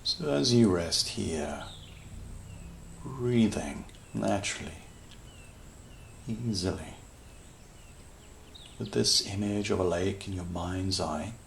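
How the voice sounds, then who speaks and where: Very slow, creaky-voiced man guiding meditation. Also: deep voice, a little hiss or hum. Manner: calm, soothing, with long pauses between short phrases. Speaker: meditation guide. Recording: nature ambience with birdsong behind voice. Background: natural sounds, birds.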